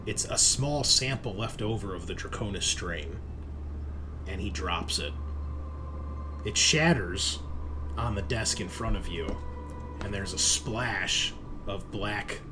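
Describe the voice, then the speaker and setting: A man running a tabletop horror game as game master, narrating in a halting way as he thinks aloud and checks his notes. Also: fairly low pitch, noisy background.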